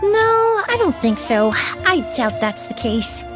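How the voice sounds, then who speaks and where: A woman's voice: sits high, very animated, slow pace, over music, heavily acted. A woman playing a polite, analytical young perfumer in a video game, speaking precisely and thoughtfully.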